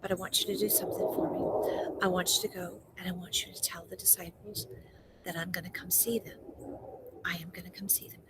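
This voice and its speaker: A woman storyteller voicing a gentle, calm holy man who gives instructions in a soft, hushed, whispery voice. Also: lowish voice, fairly quick, lightly acted. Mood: gentle, solemn.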